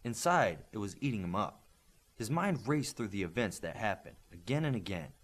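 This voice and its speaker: A man reading scripted fiction aloud as an audiobook narrator, in an expressive, animated manner. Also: on the low side, normal speed.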